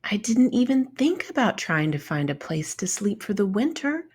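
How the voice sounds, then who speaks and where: A woman's voice: mid-range voice, very animated, moderate pace, a little mellow, breathy edge. A woman voicing a sweet, naive little female ladybug, small-voiced and earnest, in a children's storybook read-aloud. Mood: worried.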